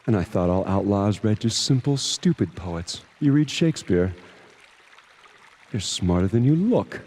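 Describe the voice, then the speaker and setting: An actor playing a stern older priest on a secret mission, speaking in a grave, measured, guarded way in a video game. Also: male voice, on the low side, animated, darkish tone.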